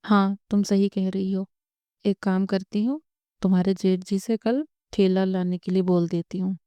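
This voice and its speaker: Woman doing gentle tired-of-it cartoon mom, resigned, flat-ish n smooth voice. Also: mid-range voice, moderate pace, no echo, natural voice. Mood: calm. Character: calm, resigned elder daughter-in-law and mother. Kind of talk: Hindi cartoon story dialogue.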